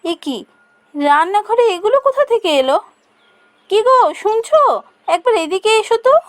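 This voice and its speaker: A woman plays a practical village mother in a fairy-tale cartoon. Her voice is high and swings up and down with every phrase. She sounds fretful, expressive and exclamatory. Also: moderate pace, dry recording. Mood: startled.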